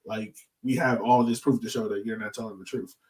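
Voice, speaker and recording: A man's voice: fairly low pitch, steady tone, a little fast, darkish tone. A man from the southern United States chatting casually on a sports podcast, thinking aloud as he explains his points. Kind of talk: casual discussion. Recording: distant from the mic.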